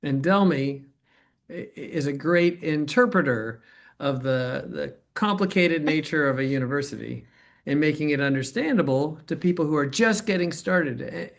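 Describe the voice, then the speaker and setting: A man's voice: a little high, moderate pace, gravelly. Man playing supportive, explanatory center director. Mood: approving, earnest. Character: supportive male university center director praising colleague. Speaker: performer portraying a center director. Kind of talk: dramatized interview narrative, conversational.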